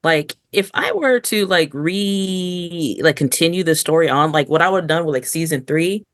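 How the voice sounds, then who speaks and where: A woman's voice: low-pitched, moderate pace, no room sound. Conversational woman, dry close sound. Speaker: podcast co-host. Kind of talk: casual review chat.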